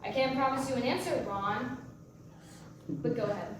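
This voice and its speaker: A guarded, sardonic young divorced mother, played by a woman in a staged play reading. Her voice sits fairly high and comes out wry and halting. She is heard from far off in a very echoey room. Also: lightly acted. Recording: distant, very echoey room with faint noise.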